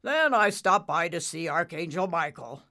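A woman voicing a big, confident father storm cloud in a story, booming and emphatic, with a secretive streak. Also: lively intonation, moderate pace, bright, forceful tone. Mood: hearty.

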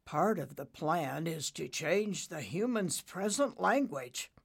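A woman voicing a big, confident father storm cloud in a story, booming and emphatic, with a secretive streak. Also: big pitch swings, a little slow, fairly bright tone. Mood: firm, confiding.

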